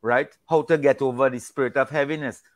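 A man's voice: mid-range voice, fairly even intonation, brisk. An older man from Trinidad with a Trinidadian accent, talking casually about faith on a video call, often repeating words as he goes. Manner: rambling, repeats words, folksy. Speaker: discussion guest. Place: indoors at home, virtual backdrop. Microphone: video call webcam.

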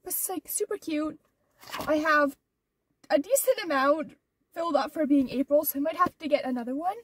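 Teen girl vlogger, very high voice, stuffed-up nose, rambling with fillers, heard from a distance. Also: normal speed, a bit bright, slight background noise. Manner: rambling, many "like" and "or whatever" fillers. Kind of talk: casual vlog chat. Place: inside her car.

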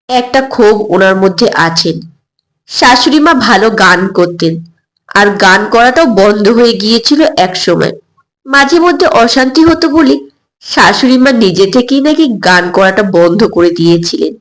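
A woman reading a scripted first-person story as narrator, in an expressive storytelling style. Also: medium pitch, rough recording.

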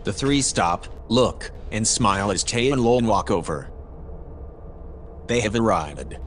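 An actor playing a calm, analytical spaceship AI, narrating in a measured, formal, precise way. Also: male voice, on the low side, slight background noise. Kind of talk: audio drama narration.

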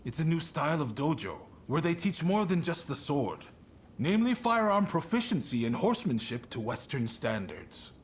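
An actor playing a shrewd, commanding shogunate official in a video game, authoritative, deliberate and a little wry. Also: male voice, fairly high pitch, big pitch swings, a little hiss or hum. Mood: brisk, wry. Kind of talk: video game cutscene acting.

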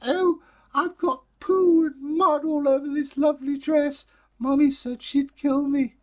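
A man voicing a silly, easily distraught little girl in a folktale, in a shrill, whiny falsetto. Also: fairly even intonation, measured pace. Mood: tearful.

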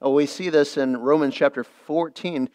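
Pastor guy preaching, kinda high voice, earnest, repeats himself a lot. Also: fairly even intonation, moderate pace, darkish tone. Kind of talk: midweek sermon.

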